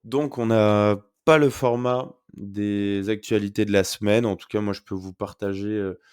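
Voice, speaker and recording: A young man with a cold talks through his nose in a deep, low voice, his throat a little sore. He chats in an upbeat, rambling way full of fillers, like a host catching up with his listeners. The close mic sounds dry, with no room around him. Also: steady tone, a little slow, a little mellow, clear, resonant tone, no room sound. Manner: chatty and upbeat, many fillers. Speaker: podcast host. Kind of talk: casual solo podcast talk. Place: at home. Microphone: close podcast mic.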